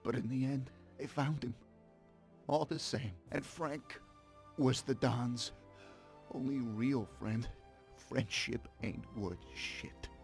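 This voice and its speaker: Slow, gravelly dying gangster. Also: male voice, medium pitch, faint music behind. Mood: bitter, resigned. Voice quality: gravelly, creaky. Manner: halting, broken phrases with gasps and repeats. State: in pain, labored breathing. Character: wounded, dying gangster, bitter former friend of the man he addresses. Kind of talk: dramatic video game voice acting.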